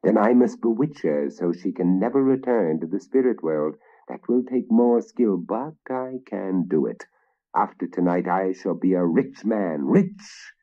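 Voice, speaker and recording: A man's voice: low-pitched, lively intonation, unhurried, gravelly, few pauses, slightly rough, heavily acted. A man voicing a scheming old male wizard who talks in a gloating, sly way, in a slightly muffled recording.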